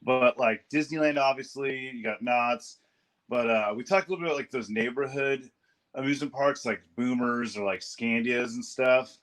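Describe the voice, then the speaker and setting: A man's voice: medium pitch, moderate pace, a bit bright. A man from Southern California hosting a podcast over a video call, talking in a laid-back, slangy way with lots of "dude.". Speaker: podcast host.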